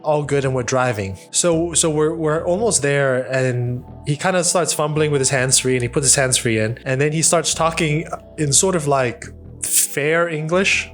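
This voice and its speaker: A man in his thirties hosting a casual solo podcast, telling a rambling story and laughing along, on a studio boom mic. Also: moderate pace, faint music behind. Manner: animated, rambling, laughs at his own stories. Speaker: podcast host. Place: home studio with wooden wall. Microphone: studio mic on boom arm, headphones.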